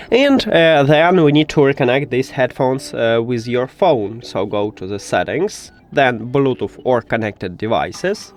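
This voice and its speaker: A man who speaks English as a second language, hosting a step-by-step tech tutorial in a halting, instructional way. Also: medium pitch. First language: not English.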